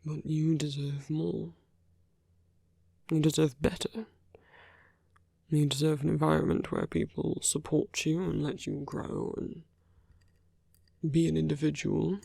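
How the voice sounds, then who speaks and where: A man playing a teasing yet tender villain in an intimate ASMR roleplay, speaking in hushed, unhurried phrases with creaky dips toward a whisper. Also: a little high, flat delivery, dry recording, studio quality, exaggerated voice. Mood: tender, earnest. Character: smooth teasing male villain, tender toward his enemy.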